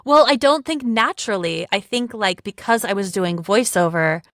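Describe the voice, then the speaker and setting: A woman's voice: medium pitch, expressive, normal speed, fairly bright tone, no room sound. Woman, 40s, voiceover artist, chatty and playful, dry close mic. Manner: chatty, playful, often breaks off and restarts. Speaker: podcast co-host, voiceover artist. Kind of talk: casual podcast chat.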